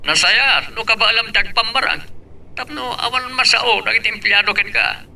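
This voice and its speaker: An actor playing a stern father and company boss in a radio drama, with a gravelly edge. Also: high voice, normal speed, pushed and bright, far from the mic, heavily acted.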